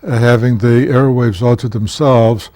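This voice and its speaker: A man's voice: mid-range voice, fairly even intonation, warm tone, dry recording. Older Polish-born man, dry sound. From Poland. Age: 70s. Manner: earnest, halting, measured recollection. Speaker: guest author, former county commissioner. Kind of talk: recounting his life story in a TV interview.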